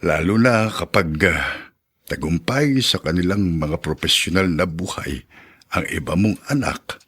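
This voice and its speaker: Older male, low husky voice, ailing aggrieved father, weighty and emotive, radio drama. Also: lively intonation, unhurried, a bit bright, heavily acted. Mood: sad, troubled. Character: ailing, aggrieved old father of grown children. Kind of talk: Tagalog radio drama acting.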